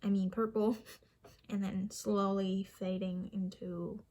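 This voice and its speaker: A young woman with a light Vietnamese accent talks to the camera in an easy, rambling way and often restarts her sentences. Her voice is slightly breathy and husky, at an ordinary middle pitch. She sounds self-deprecating and casual. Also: level tone, takes it slowly, warm tone. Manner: chatty, self-deprecating, with frequent restarts and small laughs. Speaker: vlogger.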